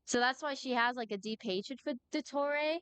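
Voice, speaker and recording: A woman's voice: high voice, normal speed, a bit bright, clear, resonant tone, no echo. A young adult woman chatting casually and informally as she livestreams a game, rambling from thought to thought. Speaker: game streamer. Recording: game music behind voice. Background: video game music.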